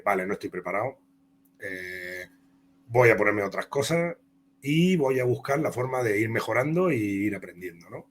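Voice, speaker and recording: A man's voice: on the low side, a bit bright. Spanish man chatting over noticeable hum. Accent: Spanish (Spain). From Spain. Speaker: comic book author and interview guest. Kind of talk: conversational interview, telling career anecdotes.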